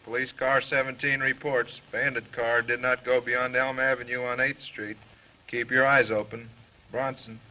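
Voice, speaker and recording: A man's voice: medium pitch, little pitch movement, moderate pace, sharp tone. An actor playing a clipped, businesslike male police radio dispatcher in a 1930s film. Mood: official, urgent. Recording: noisy, dull 1930s film soundtrack.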